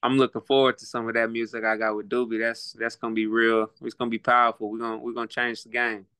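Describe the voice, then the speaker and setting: Laid back oklahoma guy on a podcast, bright clear voice, dry close mic. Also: medium pitch, level tone, fast, no room sound. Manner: laid-back, reflective, repeats words while thinking. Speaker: guest, rapper and boxer. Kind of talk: casual podcast interview.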